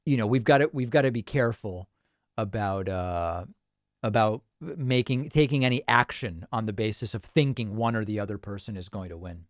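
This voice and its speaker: A man's voice: lowish voice, moderate pace, dry recording. A man hosting a casual livestream, chatting and thinking aloud as he reads out viewer comments on a close microphone. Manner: chatty, thinking aloud with restarts and small laughs. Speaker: host.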